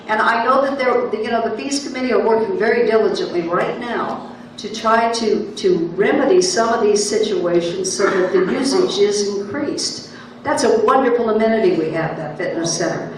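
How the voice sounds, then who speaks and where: Reassuring woman on a meeting panel. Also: mid-range voice. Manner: reassuring, measured, restarts phrases. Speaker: board director on a panel. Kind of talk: answering questions at a town hall. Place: large echoey meeting room. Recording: echoey room, distant mic, faint noise.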